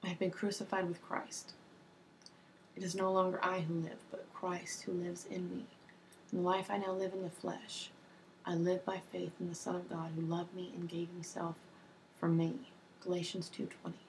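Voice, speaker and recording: Hoarse soft-spoken young woman reading for asmr, low and kinda flat, little hiss in the back. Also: low-quality recording. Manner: soft-spoken, slow and even, with ums and uhs. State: hoarse from a cold. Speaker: ASMR creator. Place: small home office indoors.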